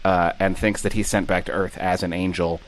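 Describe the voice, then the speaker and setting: A man's voice: sits low, level tone, a little fast, a little hiss or hum, rough recording. A man co-hosting a casual podcast, wry and rambling as he retells a film. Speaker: podcast co-host.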